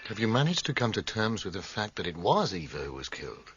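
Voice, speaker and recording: An actor playing an earnest, anxious, concerned friend with emphatic delivery in a radio drama. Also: male voice, fairly low pitch, big pitch swings, fast, fairly bright tone, soft background music. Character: jittery, concerned musician friend, urging help. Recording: dull, slightly muffled radio recording.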